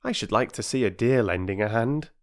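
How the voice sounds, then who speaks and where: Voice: mid-range voice, expressive, brisk, pitched above the performer's own voice, lightly acted. A narrator voicing a blunt, cocky young boy in a children's storybook. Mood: dry.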